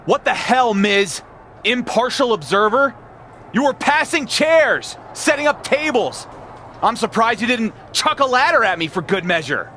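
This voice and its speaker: A man's voice: very high voice, sing-song, unhurried, pushed and bright, a little hiss or hum, exaggerated voice. A man playing a proud, defiant champion wrestler in a video game, blunt and confrontational. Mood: indignant. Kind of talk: acted video game cutscene dialogue.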